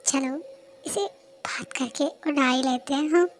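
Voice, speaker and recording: A young woman voicing a crude, pushy grown man who speaks in a low breathy whisper and slow drawl. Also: a little hiss or hum. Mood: leering.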